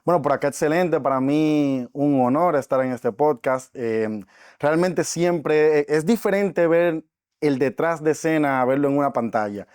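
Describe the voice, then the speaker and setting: A man's voice: fairly high pitch, moderate pace, a little mellow, smooth, no echo, clean studio sound. A man, a podcast guest talking earnestly and reflectively about his life, with frequent fillers. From Dominican Republic. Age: 20s. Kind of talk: casual interview talk.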